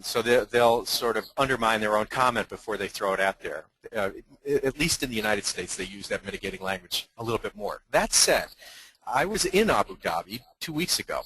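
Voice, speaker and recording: A man's voice: fairly low pitch, sharp tone, no room sound, poor audio. A man who runs leadership workshops, speaking earnestly and emphatically on a webinar panel.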